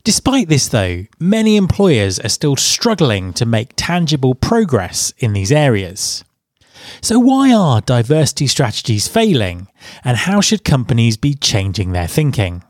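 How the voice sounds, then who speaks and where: A man's voice: mid-range voice, wildly swooping pitch, a little slow, no room sound, clean studio sound. A man hosting a podcast, introducing his guest and asking questions in an upbeat way. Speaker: podcast host.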